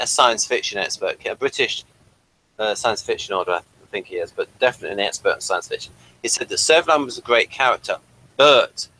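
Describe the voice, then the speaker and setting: Man, bright clear-cut tone, podcast host, explanatory, casual review conversation. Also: medium pitch, poor audio.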